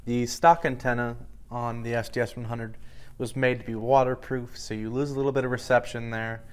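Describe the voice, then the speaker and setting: A man's voice: mid-range voice, flat delivery, a little fast, clear, resonant tone. A man giving an informal product review in a matter-of-fact, explanatory way.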